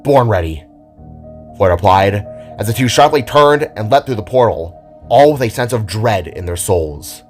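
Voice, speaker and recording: A man's voice: on the low side, lively intonation, normal speed, a little mellow. A man reading a scripted fantasy story as narrator, in a dramatic storytelling manner. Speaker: narrator of an amateur audio drama. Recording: music under the voice. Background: background music.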